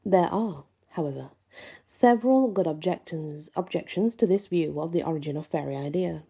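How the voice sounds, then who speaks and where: A woman host reading folklore aloud and musing on it, with deliberate, emphatic phrasing. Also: fairly low pitch, lively intonation, creaky, dark tone, slightly rough. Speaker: host and reader. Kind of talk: reading a folklore book aloud with casual asides.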